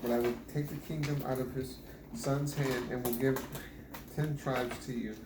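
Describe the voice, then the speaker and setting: A man's voice: mid-range voice, level tone, moderate pace. A man reading scripture aloud at home, often stumbling and restarting on hard names. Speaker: Bible reader. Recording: echoey room with background noise.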